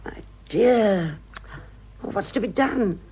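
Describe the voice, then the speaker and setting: A woman's voice: mid-range voice, expressive, slow pace, muffled recording, a little hiss or hum. An actress playing a worldly, rueful older mother-in-law, pleading with emotional, hesitant delivery in a radio drama. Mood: anxious, pleading.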